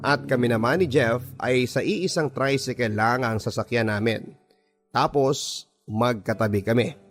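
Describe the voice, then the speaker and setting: A man with a Filipino accent narrating a scripted first-person love story in an expressive radio storytelling style. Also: mid-range voice, unhurried. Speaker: radio drama narrator reading a listener's letter. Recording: voice over background music. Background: music.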